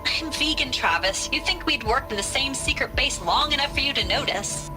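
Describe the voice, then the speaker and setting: An actress playing a dry, weary tech worker of about thirty in a video game, casual and wry. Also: female voice, high voice, animated, moderate pace. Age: 30s. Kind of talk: video game dramatic acting. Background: music.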